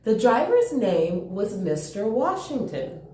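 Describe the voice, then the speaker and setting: A woman's voice: fairly high pitch, very animated, takes it slowly, warm tone. A woman hosting a children's storytime, reading aloud and chatting with young listeners in an enthusiastic, question-filled way. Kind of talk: animated children's read-aloud with chatty asides. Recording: roomy, echoing sound.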